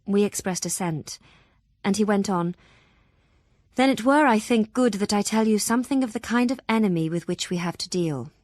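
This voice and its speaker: An actress playing an earnest young Victorian woman, narrating solemnly and deliberately in an audio drama. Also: medium pitch, darkish tone, no room sound, clean studio sound, lightly acted. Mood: quiet, composed.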